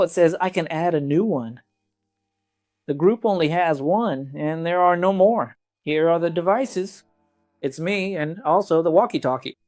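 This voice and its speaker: A man's voice: fairly high pitch, darkish tone. A man reviewing tech gadgets in a casual walkthrough, talking informally and directly to viewers.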